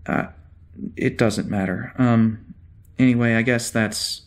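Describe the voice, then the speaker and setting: A man playing an anxious, confused spaceship security officer with amnesia in a science-fiction audio drama, hesitant and halting as he mutters to himself. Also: mid-range voice, steady tone, lightly acted. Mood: unsettled, hesitant.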